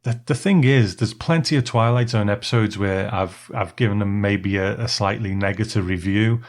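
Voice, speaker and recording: A man's voice: fairly low pitch, moderate pace. A man co-hosting a podcast in a casual, easygoing, conversational way. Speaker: podcast co-host. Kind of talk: casual chat.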